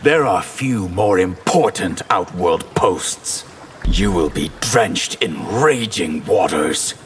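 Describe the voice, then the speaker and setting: A man's voice: low-pitched, expressive, slow pace, slight background noise. A man playing a grave, formal water mage in a fighting game, solemn and ominous. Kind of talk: fighting game character acting.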